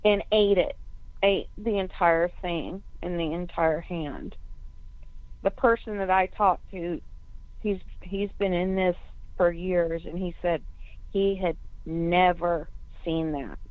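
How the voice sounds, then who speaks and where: Woman, measured slow pace, emphatic, in a discussion heard through a narrowband phone call. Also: on the low side, steady tone, no room sound. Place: telephone conference call. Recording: narrowband phone conference line.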